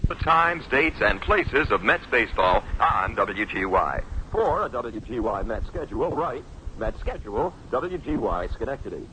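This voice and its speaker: A man's voice: medium pitch, sing-song, normal speed, bright, forceful tone, poor audio. A man reading a scripted station promo in 1960s radio announcer style. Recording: old radio broadcast, faint noise.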